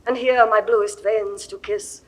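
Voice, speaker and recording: A woman's voice: medium pitch, steady tone, unhurried, close to an everyday voice, rough recording. An actress playing an imperious, lovesick Egyptian queen in a classical Shakespeare audio drama, with grand, theatrical delivery. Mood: anxious, threatening.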